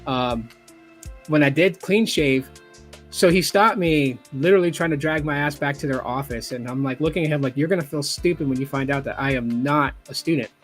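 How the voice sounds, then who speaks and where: A man's voice: on the high side, brisk, soft background music. Casual swearing man in his forties. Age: 40s. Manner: casual, swears freely, acts out quotes. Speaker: YouTube host. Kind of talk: casual podcast chat.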